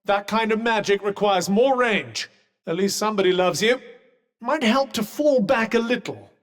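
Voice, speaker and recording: Man voicing a sardonic enchanted cuff for a video game: middle-aged, refined British male, fairly high voice, dry and pompous, drenched in long reverb. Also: moderate pace, close to an everyday voice. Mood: brisk advice. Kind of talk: game companion combat barks.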